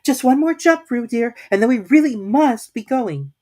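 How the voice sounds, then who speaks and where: Woman as a high-voiced fussy mother kangaroo. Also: animated, moderate pace, warm tone. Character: fussy motherly female kangaroo, gently preoccupied.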